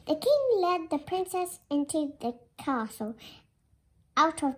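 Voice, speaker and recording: High-pitched child reading a fairy tale. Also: big pitch swings, takes it slowly, a little hiss or hum. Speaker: storybook reader. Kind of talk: read-aloud fairy tale narration.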